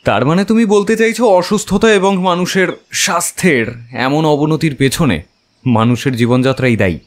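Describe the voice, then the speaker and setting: A man playing a detective's wry, chatty companion who narrates the case as an expressive first-person story. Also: fairly high pitch, moderate pace, long reverb tail, lightly acted. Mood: questioning.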